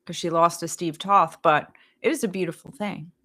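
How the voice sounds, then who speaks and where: A woman's voice: lowish voice, moderate pace, pushed and bright. A woman, hosting a political talk show, talking in a chatty, agreeable way with many fillers. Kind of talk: casual political discussion.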